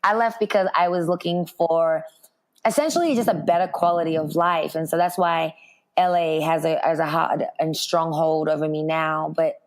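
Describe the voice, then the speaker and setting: A hoarse woman in her thirties with a raspy, softened Australian accent, chatting as an interview guest with frequent ums. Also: low voice, steady tone, normal speed. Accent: Australian, softened by years in the US. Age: 30s. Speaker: guest, fitness speaker. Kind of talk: casual interview chat.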